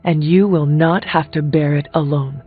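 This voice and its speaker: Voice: medium pitch, steady tone, moderate pace, husky, phone-quality sound, natural voice. An actor playing a resolute, stoic young warrior in a video game, speaking in a formal, blunt, earnest way. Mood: sympathetic. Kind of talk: video game character dialogue. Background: music.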